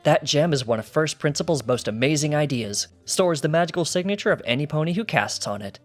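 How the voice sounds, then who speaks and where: A man's voice: on the high side, expressive, a little fast, pitched above the performer's own voice, lightly acted. A man playing a sly, boastful pony thief over music. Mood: teasing. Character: cocky smug pony thief recounting his heist. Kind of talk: fan fiction character dialogue.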